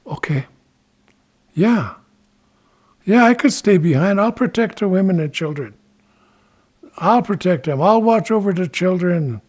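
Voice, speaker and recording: A man's voice: sits high, lively intonation, measured pace, long pauses, darkish tone, voice pushed up. A man playing an eager, boastful would-be warrior who exclaims and coaxes as he talks, in a told folk story. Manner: exclaiming, coaxing, bragging.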